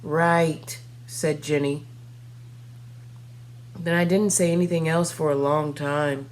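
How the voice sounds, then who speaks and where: Low-pitched woman reading a children's story. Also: steady tone, a little slow, a little mellow. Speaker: storytime reader. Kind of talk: children's picture-book read-aloud. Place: small furnished room at home, indoors. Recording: faint background noise and hum.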